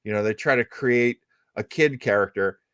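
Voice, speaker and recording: A man's voice: medium pitch, moderate pace, fairly bright tone, no echo, studio quality. A man hosting a show, chatting casually and musing as he explains things at length. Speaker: radio show host. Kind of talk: casual chat about old radio.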